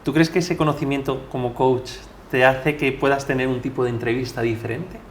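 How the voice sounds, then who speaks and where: A man's voice: mid-range voice, steady tone, a little fast, slight background noise. A man hosting a podcast, speaking in a conversational interview style as he introduces and questions his guest.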